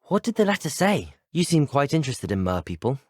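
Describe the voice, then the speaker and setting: Polite young British wizard student, expressive. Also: male voice, medium pitch, fairly quick, dry recording. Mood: earnest, polite. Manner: courteous, earnest, well-spoken. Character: polite, inquisitive teenage male wizard student. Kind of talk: scripted video game dialogue lines. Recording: dry, close studio voice recording.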